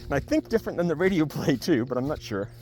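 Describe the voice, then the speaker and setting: A man's voice: a little high, very animated, fast talker, warm tone, a little hiss or hum. A man talking casually and spontaneously to camera in a rambling, wry, jokey way, like a vlogger giving a review. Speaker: video blogger giving a book review. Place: on a farm among cows.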